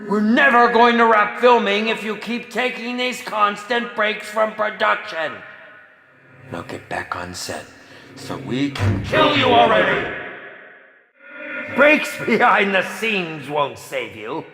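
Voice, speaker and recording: A man's voice: high-pitched, sing-song, slow pace, gravelly, crowd noise, full character voice. A man playing a pompous, maniacal horror film director in a video game, theatrically exasperated and melodramatic. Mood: exasperated ranting. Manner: pompous, melodramatic, swooping from sly to shrieking. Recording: echoey hall reverb with music behind.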